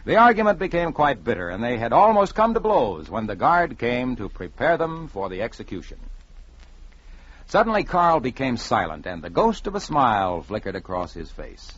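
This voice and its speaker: Male storyteller for vintage radio: expressive, slightly rough voice, measured drama, muffled old recording. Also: mid-range voice. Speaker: narrator.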